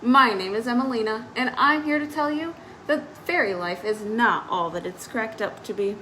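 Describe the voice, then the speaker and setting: A woman's voice: fairly high pitch, expressive, normal speed, sharp tone, a little hiss or hum, rough recording. A woman voicing a spirited, grumbling tomboy fairy from a storybook, telling her tale expressively. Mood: wry. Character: spirited tomboy fairy, hundred years old, grumbling about dainty rules.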